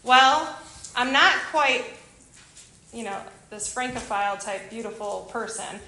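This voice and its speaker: Chicago woman, mid-high voice, chatty, animated presenter with self-deprecating asides. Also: a little slow, rough recording. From Illinois, USA. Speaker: missionary kids program leader. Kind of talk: informal church presentation to a group.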